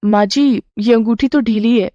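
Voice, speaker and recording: A woman voicing a polite, easily amazed young daughter-in-law in a Hindi cartoon moral tale, respectful and expressive. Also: a little high, moderate pace, no room sound, highs cut off, lightly acted. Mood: polite, concerned. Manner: respectful, wide-eyed, expressive. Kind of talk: cartoon storybook character voice.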